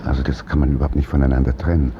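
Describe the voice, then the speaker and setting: A man's voice: a bass voice, little pitch movement, rapid-fire, muffled recording, noisy background. A man who works as a stage actor, reminiscing in an interview in a thoughtful, hesitant, searching manner.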